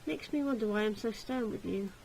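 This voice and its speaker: A woman voicing a stern yet gentle male tutor in a visual novel, speaking in a soft, measured, reflective way. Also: medium pitch, creaky, slight background noise, natural voice, poor audio. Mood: quiet, wistful.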